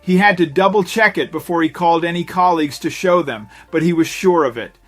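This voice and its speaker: A man with a slightly high voice reads a scripted story as a dramatic narrator. His delivery is measured and builds suspense, with faint music playing underneath. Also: no echo. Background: background music.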